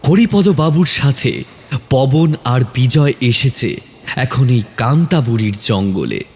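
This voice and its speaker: Male narrator, mid-pitched and highly expressive, suspenseful delivery for scripted horror storytelling. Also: unhurried, slight background noise. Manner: dramatic, suspenseful.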